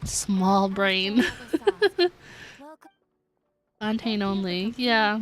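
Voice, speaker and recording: A woman's voice: medium pitch, steady tone, extremely slow, soft background music. A woman livestreaming a game, chatting casually and informally in a giggly, self-deprecating way. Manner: informal, peppers speech with "like". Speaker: game streamer, host. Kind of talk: casual livestream chat while playing a game.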